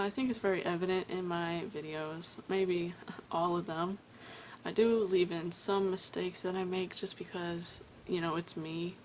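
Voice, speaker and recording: Stuttering woman with a low voice. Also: slight background noise, poor audio. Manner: stutters and pauses mid-sentence. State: tired. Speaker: vlogger. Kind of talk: casual talk to camera. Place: at home indoors.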